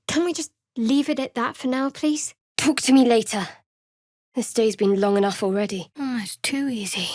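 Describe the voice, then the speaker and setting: A woman's voice: mid-range voice, no echo, natural voice. An actress playing a guarded, sardonic young female cleric in a fantasy video game, speaking in a dry, clipped manner. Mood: subdued, tender, then combative.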